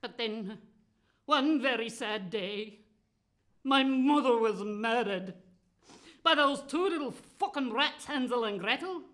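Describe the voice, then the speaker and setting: Woman playing a high, bright, spiteful fairy tale witch. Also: lively intonation, takes it slowly. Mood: spiteful, aggrieved. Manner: theatrical, cackling, sweary. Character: spiteful, gleeful, foul-mouthed fairy tale witch telling her tales. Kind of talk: comic storytelling monologue.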